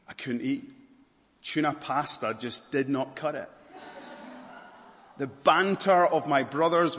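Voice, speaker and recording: Scottish man, sermon, earnest, emphatic then hushed, reverberant church hall. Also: medium pitch. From Scotland. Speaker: preacher.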